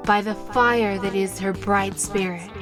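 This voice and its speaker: An actress playing a confident, tender witch who mentors with soothing, measured words in an audio drama. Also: mid-range voice, fairly even intonation, close to an everyday voice. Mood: intimate, incantatory. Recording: music playing behind. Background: music.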